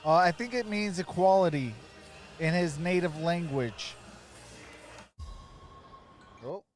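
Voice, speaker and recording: A man's voice: high voice, moderate pace, soft background music. A man hosting a live stream, chatting casually and calling basketball plays with informal, off-the-cuff reactions.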